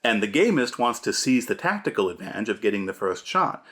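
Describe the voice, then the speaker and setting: A man's voice: lowish voice, big pitch swings, brisk, fairly bright tone. A man hosting a scripted tabletop gamemastering lesson, speaking in an emphatic, theatrical, punchy way. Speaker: host, game master giving advice.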